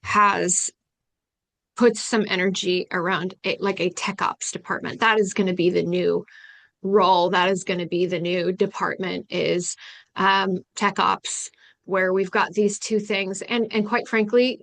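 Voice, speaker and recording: A woman's voice: medium pitch, little pitch movement, unhurried, fairly bright tone. A woman presenting in a business webinar, stressing and repeating her key points. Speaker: webinar presenter, company founder.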